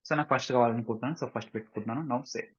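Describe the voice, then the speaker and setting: A man with an Indian Telugu accent gives a step-by-step software tutorial as a trainer, mixing two languages and often checking that learners follow with short questions. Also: medium pitch, very fast, husky. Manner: instructional, repeatedly checking understanding with short questions. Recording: dull, roomy lo-fi screen recording.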